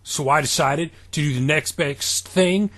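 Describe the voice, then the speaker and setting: A man doing a barking, high, husky villain professor. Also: unhurried, a bit bright. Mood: gloating. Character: irritable scheming male professor, gloating wrestler villain.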